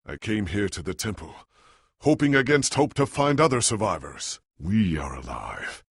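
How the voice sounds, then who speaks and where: A very low-voiced heroic adventurer, solemn and earnest, speaking with formal gravity for a video game. His husky, rasping tone moves through wide dramatic swings of pitch. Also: big pitch swings, normal speed, no echo, studio quality, exaggerated voice. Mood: somber. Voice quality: gravelly, husky. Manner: grave, formal, dramatic delivery. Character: noble ageing adventurer hero, solemn and earnest.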